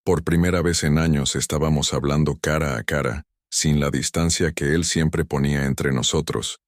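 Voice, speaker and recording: A man narrating a dramatic first-person story in a measured, stern manner. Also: extremely low pitch, no echo, clean studio sound.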